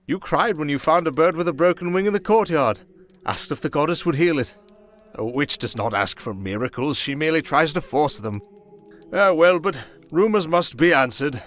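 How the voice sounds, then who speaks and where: A man voicing a kindly, wry old high priest in a fantasy audio roleplay, with fatherly, ceremonious, unhurried phrasing. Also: expressive, a bit bright. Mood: amused, fatherly. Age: older.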